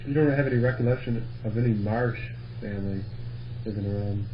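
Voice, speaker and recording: A man's voice: lowish voice, brisk. A man asking questions and chatting casually in an oral history interview. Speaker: interviewer, local history researcher. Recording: dull old reel-to-reel tape with hum.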